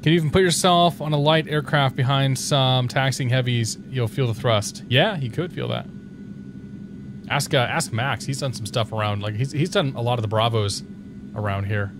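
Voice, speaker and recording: A man's voice: mid-range voice, moderate pace. Slightly tipsy male streamer, loose chatty delivery over audible background noise. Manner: chatty, rambling, reads his own radio calls. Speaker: flight sim streamer. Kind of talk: casual gameplay commentary.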